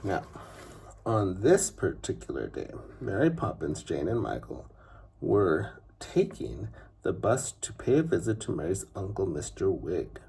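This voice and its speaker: Slow, very husky male storyteller. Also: deep voice, sing-song, darkish tone, poor audio. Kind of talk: scripted children's story narration.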